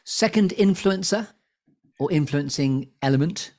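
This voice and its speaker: A man's voice: fairly high pitch, animated, unhurried, darkish tone. A man hosting a conversational interview, prompting his guest. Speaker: interview host.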